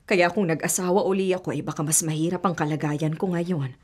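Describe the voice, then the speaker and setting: A woman's voice: on the low side, steady tone, fairly quick, close to an everyday voice. A woman acting as a hardworking, self-sacrificing middle-aged widowed mother in a Filipino radio drama, earnest and long-suffering. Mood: resolute, weary.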